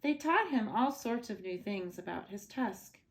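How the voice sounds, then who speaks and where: A woman's voice: medium pitch, animated, unhurried, low-quality recording. A woman reading a children's picture book aloud as a bedtime story, expressively and with short asides. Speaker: storybook reader.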